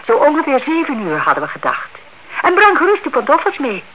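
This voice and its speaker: An actress playing an inquisitive, stubborn elderly aunt in a Dutch radio play, politely pressing with questions. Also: female voice, high-pitched, huge pitch swings, brisk. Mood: insistent, hospitable. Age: older. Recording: dull, noisy old radio recording.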